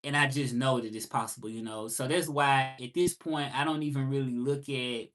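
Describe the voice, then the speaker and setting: A man's voice: mid-range voice, steady tone, moderate pace, fairly bright tone. A man in his thirties with a Southern US accent, talking things through in a reflective live monologue and repeating key phrases for emphasis. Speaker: livestream host.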